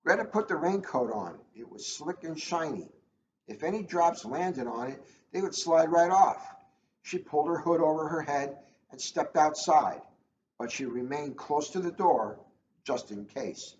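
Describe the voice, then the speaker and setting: A man's voice: on the high side, animated, unhurried. A man reading a children's book aloud as a police officer, chatting and joking at his own expense in casual asides. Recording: echoey room, dull lo-fi, faint noise.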